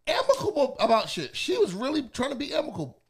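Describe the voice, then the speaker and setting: A man's voice: extremely high pitch, fast talker, vocal fry, husky. A man co-hosting a podcast, chatting casually, swearing a lot and laughing often. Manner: animated, profane, laughs often. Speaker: podcast co-host. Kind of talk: casual chat.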